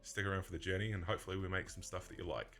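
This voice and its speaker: A man with a mixed English and Australian accent, co-hosting a casual card-game chat, chatty and quick to laugh. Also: deep voice, fairly even intonation, a bit bright, soft background music. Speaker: podcast co-host.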